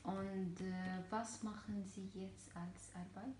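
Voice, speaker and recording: Syrian-born woman, Arabic first language, mid-pitched, slow and careful, echoey room. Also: flat delivery, a little mellow, slight background noise. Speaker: German learner in a practice interview. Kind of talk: slow, careful learner German with fillers.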